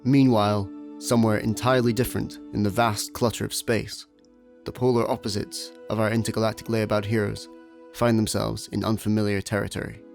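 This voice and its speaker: A Scottish man acting as the wry, deliberate narrator of a comic sci-fi radio play, deadpan and mock-grand. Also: lowish voice, vocal fry. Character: wry, measured storyteller of a comic space adventure. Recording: music behind the voice.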